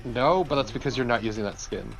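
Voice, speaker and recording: A man's voice: medium pitch, fast. Male co-commentator, mid-range voice, wry casual banter, noticeable background noise. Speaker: let's play co-commentator. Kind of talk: casual chat over gameplay. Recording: clearly noisy background.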